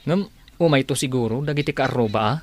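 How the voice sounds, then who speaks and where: Man playing an easygoing mid-pitched Ilocano helper. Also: brisk, slight background noise, natural voice. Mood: hopeful. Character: easygoing, hopeful male household helper. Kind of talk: Ilocano radio drama acting.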